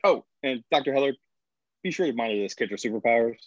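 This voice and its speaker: Man playing cocky sci-fi governor, irreverent, sarcastic, table read, middle pitch, slightly lo-fi recording. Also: expressive, fast, lightly acted. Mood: breezy. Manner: flippant, sarcastic. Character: cocky, irreverent male colonial governor who banters crudely.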